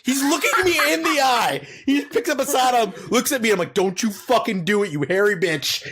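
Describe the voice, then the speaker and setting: A man's voice: very high voice, expressive, brisk, fairly bright tone, a little hiss or hum. A young man telling a long crude story on a casual comedy podcast, rambling and full of swearing. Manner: crude, profane, rambling anecdotes. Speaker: podcast co-host.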